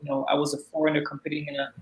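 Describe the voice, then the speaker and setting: A man's voice: on the high side, completely flat delivery, racing, a little hiss or hum, low-quality recording. A man in his thirties from Haiti with a Haitian accent, chatting casually as a guest and often repeating words as he starts a thought. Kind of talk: casual video-call interview.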